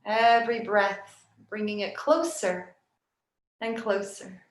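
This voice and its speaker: A woman yoga nidra teacher leading a guided relaxation meditation in a soft, soothing, unhurried way with long pauses. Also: mid-range voice, steady tone. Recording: roomy, echoing sound.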